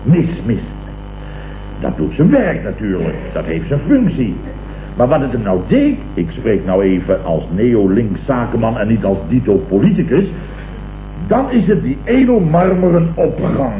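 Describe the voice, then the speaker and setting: An actor playing a pompous, blustering company boss in a vintage radio comedy, vain, excitable and often repeating himself. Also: male voice, medium pitch, a little slow. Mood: pompous lecturing. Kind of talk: 1960s Dutch radio comedy acting. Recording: muffled, hissy, echoey vintage radio recording.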